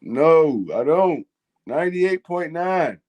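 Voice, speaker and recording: A man's voice: a little high, animated, takes it slowly, a little mellow. A man, co-hosting a fan call-in show in casual, slangy chat and laughing often. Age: 20s.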